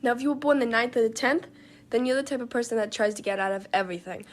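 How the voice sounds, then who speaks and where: A young woman rattles through a playful list in a quick, breezy patter. Her voice sits a little high and she speaks fast. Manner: chatty, upbeat listing. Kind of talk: social media clip monologue.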